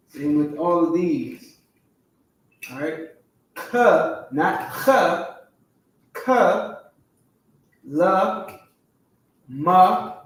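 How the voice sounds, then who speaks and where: Slow, echoey male teacher off-mic. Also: on the high side, recorded from a distance. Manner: slow, repetitive, checks in with "all right" and "you understand". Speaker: Hebrew class teacher. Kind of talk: classroom teaching over a chart.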